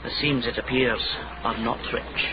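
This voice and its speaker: A man acting a naive, formal young gentleman in a radio drama, polite and prim. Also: medium pitch, fairly even intonation, moderate pace, lightly acted. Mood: curious, prim. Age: young adult. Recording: dull, noisy radio drama recording.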